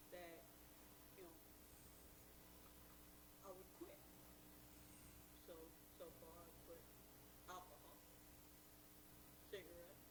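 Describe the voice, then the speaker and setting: A woman in her fifties giving a blunt, halting personal testimony from the audience in a church hall. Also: lowish voice. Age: 50s. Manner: blunt, halting, loud and emphatic. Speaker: audience member sharing her story. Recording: echoey room, loud hum.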